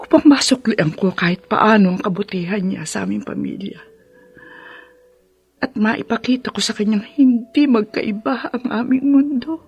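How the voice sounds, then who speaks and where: A woman acting a tender, devoted widowed mother in a radio drama, with a halting, emotional delivery. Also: mid-range voice, husky, no room sound.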